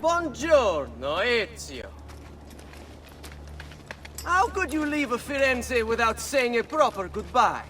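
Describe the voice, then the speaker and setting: A man's voice: extremely high pitch, big pitch swings, takes it slowly, long pauses, pushed and bright, recorded from a distance, soft background music, heavily acted. An actor playing an arrogant, spiteful young nobleman villain in a video game, with a sneering, taunting manner. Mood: smug taunting. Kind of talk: video game drama acting.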